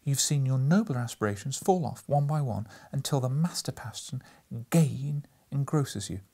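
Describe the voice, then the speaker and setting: A man voicing a gentle, sorrowful young woman in a storybook, speaking softly and earnestly. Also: mid-range voice, animated, moderate pace, voice pushed up. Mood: grieving reproach. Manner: soft, earnest, breathy. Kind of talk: dramatic audiobook character reading.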